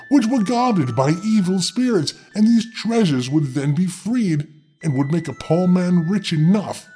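A man's voice: fairly high pitch, dark tone. A man narrating a fairy tale as a storyteller, theatrical and impish in his delivery. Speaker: storyteller, host. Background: music.

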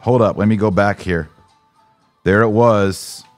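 A man's voice: on the low side, fairly even intonation, a little slow, soft background music. A man hosting a podcast, giving religious commentary in a casual conversational style with an emphatic, indignant manner. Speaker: podcast host.

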